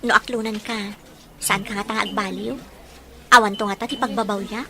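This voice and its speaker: A woman's voice: mid-range voice, steady tone, a little fast, background noise, lightly acted. A woman with an Ilocano accent playing a modest young daughter in love in a serial drama. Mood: hesitant, earnest. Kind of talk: Ilocano radio-style serial drama acting.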